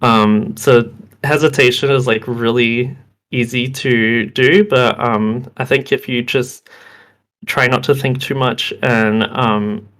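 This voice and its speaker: A young man who is slightly hoarse from being sick, giving a game development talk over a video call with many ums and restarts. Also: mid-range voice, fairly even intonation, slow, fairly bright tone. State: hoarse, losing his voice. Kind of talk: presentation talk.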